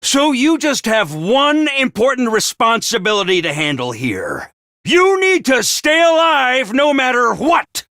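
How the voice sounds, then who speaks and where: A man's voice: very high-pitched, very animated, unhurried, sharp tone, dry recording, studio quality, full character voice. A male actor playing a bombastic, theatrical little mascot commander in a video game, swinging between chirpy and sly. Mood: rousing. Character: bombastic, theatrical small robot-mascot commander, cheery yet menacing. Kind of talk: animated video game voice acting.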